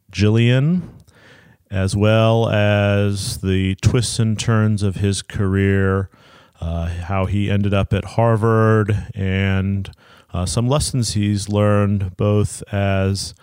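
Slow, deep-voiced podcast host, smooth. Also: male voice, a little mellow, no room sound, clean studio sound. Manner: slow, measured, thoughtful with frequent fillers. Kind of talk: interview podcast.

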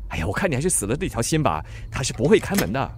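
A man's voice: medium pitch, very animated, racing, a little hiss or hum, close to an everyday voice. A man playing a teasing, cynical young delivery sidekick, grumbling theatrically in a radio drama. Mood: sarcastic.

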